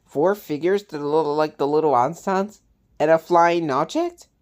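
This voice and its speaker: A woman hosting a reaction video, giving casual commentary in short, halting phrases. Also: low-pitched, a little mellow.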